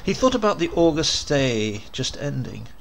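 A man's voice: fairly high pitch, big pitch swings, normal speed, creaky, noisy background. A man narrating a short story as a scripted, dramatic audiobook reading.

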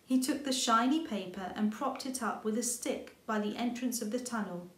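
Female storybook reader, thirties, higher register, expressive delivery, roomy home sound. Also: moderate pace. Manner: expressive reading with character lines. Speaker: author reading aloud. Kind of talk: storybook narration for children. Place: indoor room with bookshelves.

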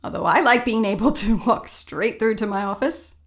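An actress plays a headstrong, curious woman in an audio play. Her voice sits high and moves around a lot. She sounds playful and determined. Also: lively intonation, moderate pace. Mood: curious, breezy. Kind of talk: audio drama acting.

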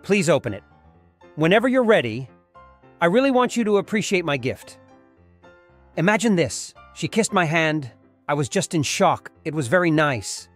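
A man voicing a warm, polite, eager cartoon dog in an animated comic dub. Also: fairly high pitch, moderate pace, long pauses, soft background music. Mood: grateful, happy. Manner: earnest, polite.

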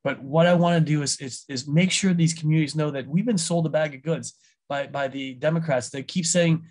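A man with a somewhat high-pitched voice answers an interviewer's questions as a congressional candidate. He speaks earnestly and often stumbles and repeats words as he restarts a thought. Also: fairly quick, a little mellow. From United States.

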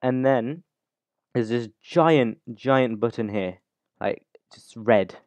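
A man with a mid-pitched voice talks through a software tutorial in a casual, unscripted way. He rambles a little as he explains each step. The recording is dry and slightly dull, with a lo-fi sound. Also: slow pace, no room sound. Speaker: YouTube tutorial host.

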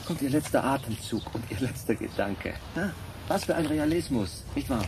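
A man's voice: medium pitch, expressive, normal speed, background noise, lightly acted. An actor playing an opinionated, scornful male intellectual who argues rhetorically in a radio drama. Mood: sardonic. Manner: rhetorical, sardonic.

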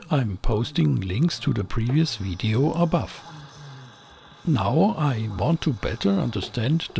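Gravelly, animated man explaining electronics. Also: mid-range voice. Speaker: presenter of an electronics channel. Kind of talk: scripted explainer narration.

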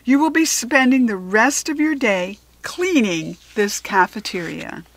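A woman's voice: a little high, animated. An American woman voicing a stern, angry male school principal from a storybook, emphatic and commanding. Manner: emphatic, commanding, drawn-out words.

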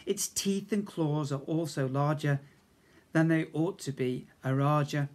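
Expressive British man reading children's rhymes. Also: fairly high pitch. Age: 50s. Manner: expressive, deliberate verse reading with chatty asides. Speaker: infant school teacher. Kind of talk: reading comic rhymes aloud to young children. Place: small indoor school office. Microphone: device camera at arm's length.

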